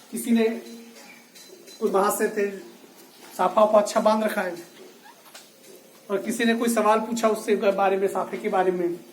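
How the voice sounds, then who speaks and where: A teacher giving a classroom lecture who explains slowly, repeats key phrases and speaks directly to the students. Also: mid-range voice. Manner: addresses students directly, scolds inattention. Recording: echoey room, voice from a distance, faint noise.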